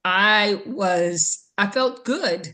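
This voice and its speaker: Slow, thoughtful woman podcast host. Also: medium pitch, sharp tone. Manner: slow, thoughtful, hesitant with many ums. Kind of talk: casual chat.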